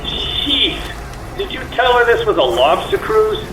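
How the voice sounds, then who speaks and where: A man playing a grumpy, harried ship's cook in a radio play, gruff and impatient. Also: extremely high pitch, huge pitch swings, unhurried, reverberant, distant mic, noisy background, full character voice. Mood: irritated.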